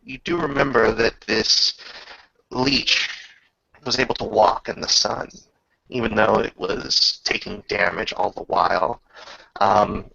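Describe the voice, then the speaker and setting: Male game master, heavy vocal fry, bright edge, halting delivery, for tabletop roleplaying narration over lo-fi voice chat. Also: fairly low pitch. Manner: halting, pausing mid-sentence. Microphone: online voice chat. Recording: dull lo-fi voice chat.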